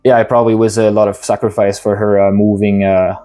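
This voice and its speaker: A man hosting an interview, asking halting questions and rephrasing them with many uhs. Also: lowish voice, flat delivery, dark tone.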